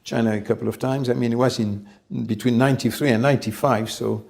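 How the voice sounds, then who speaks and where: A man, telling his life story in an interview, measured and reflective, often pausing to find his words. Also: medium pitch, steady tone, vocal fry, a little mellow. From France. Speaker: company head, interview guest.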